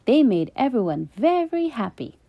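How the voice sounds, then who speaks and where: A woman reading a children's bedtime story in an expressive, sing-song storytelling manner. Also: a little high, moderate pace, no room sound, muffled recording.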